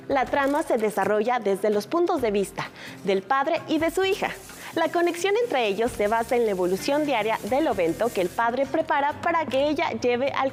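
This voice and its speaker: High-voiced woman reading a culture segment. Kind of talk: scripted news segment narration.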